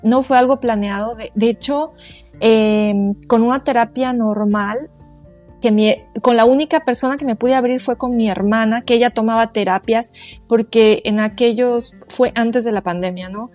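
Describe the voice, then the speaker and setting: Woman, 40s, higher voice, earnest and halting, soft music underneath. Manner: halting, searching for words, earnest. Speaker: guest, quantum therapist. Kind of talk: casual interview conversation.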